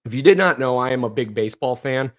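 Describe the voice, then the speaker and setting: A man with a hoarse, worn voice chats casually on a livestream and reads viewer comments aloud. He rambles, restarts his sentences and fills the gaps with ums and uhs. He grew up in northeastern Ohio, and his voice sits at a middle pitch. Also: animated, fast. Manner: rambling, many fillers and restarts. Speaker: livestream host.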